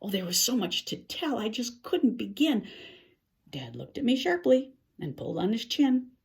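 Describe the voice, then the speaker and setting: An older woman reads a rhyming children's story aloud in an expressive, theatrical way, with musing exclamations and dramatic pauses. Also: fairly high pitch, creaky. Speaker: picture-book reader.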